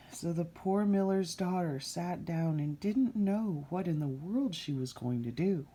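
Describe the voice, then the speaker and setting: A woman reading a fairy tale aloud as a storyteller, in an unhurried, expressive storytime manner. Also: low voice.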